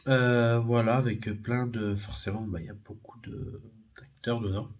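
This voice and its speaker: A man with a cold chats about his discs in a middle-pitched voice, stumbling over fillers and correcting himself as he goes. The recording is slightly muffled and lo-fi. The overall feel is relaxed and unpolished. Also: moderate pace. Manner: rambling, hesitant with many fillers and self-corrections. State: has a head cold. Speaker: DVD and Blu-ray collector. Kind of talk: casual unboxing video.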